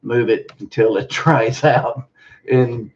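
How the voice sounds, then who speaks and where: A man's voice: mid-range voice, slow pace, darkish tone, highs cut off. A man with a Southern US drawl, co-hosting a home livestream, chatting in a joking, folksy way. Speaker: co-host, retiring from his job. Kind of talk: casual chat.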